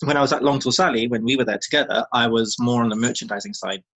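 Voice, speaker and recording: A man's voice: medium pitch, fast talker, fairly bright tone, breathy edge. A British man in his thirties chatting as a podcast guest, rambling with lots of ums and restarts and laughing easily. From Britain. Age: 30s. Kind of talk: casual chat.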